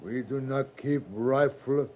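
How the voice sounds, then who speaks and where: Slow, solemn, proud chief. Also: male voice, mid-range voice. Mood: grave. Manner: solemn, halting, stilted broken English. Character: proud, guarded Cheyenne chief. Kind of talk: 1950s radio western acting. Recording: noisy, muffled lo-fi 1950s radio recording.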